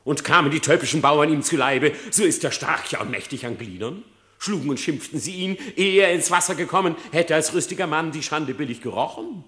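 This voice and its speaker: Sly, theatrically wheedling fox. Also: male voice, fairly high pitch, animated, fairly quick, pushed and bright. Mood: self-justifying. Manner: theatrical, wheedling, mock-pious. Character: sly, flattering fox, feigning piety and innocence. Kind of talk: literary radio play in verse.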